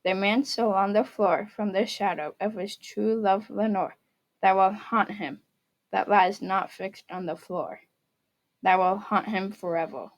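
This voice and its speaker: A woman's voice: medium pitch, steady tone, unhurried, rough recording. Young woman narrating in a mid-range voice. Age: teens or young adult. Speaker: student reader. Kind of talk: scripted narration, reading a poem retelling aloud.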